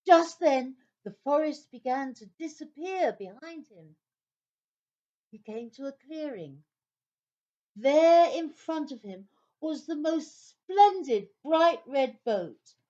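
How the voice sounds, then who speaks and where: Female narrator, children's audio play; slightly high voice, slow deliberate storytelling with theatrical phrasing. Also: animated, warm tone, lo-fi sound.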